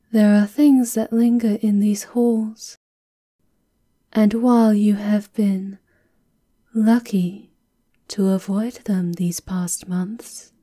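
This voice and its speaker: A woman acts as a watchful female castle guard in an ASMR roleplay. Her tone is extremely mellow and hushed, and she talks very slowly, formal and reserved, with stern duty. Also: mid-range voice.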